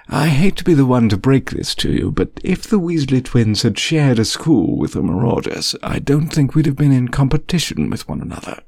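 A man's voice: on the high side, fairly even intonation, a little slow, few pauses, a little mellow, pitched above the performer's own voice, natural voice. A man reading an audiobook, voicing a kind young male teacher with a light, teasing manner. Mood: wry.

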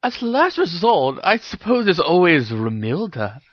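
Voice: sits high, wildly swooping pitch, normal speed, dry recording, dull audio, pitched above the performer's own voice, exaggerated voice. A reader voicing a teenage girl witch, a bossy and teasing best friend who speaks in emphatic exclamations, for an audio story.

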